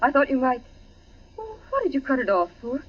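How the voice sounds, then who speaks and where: Gentle, composed radio-play wife: high, lilting pitch, dull vintage recording. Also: female voice, sing-song, moderate pace. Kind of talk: 1940s radio acting. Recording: muffled old radio recording.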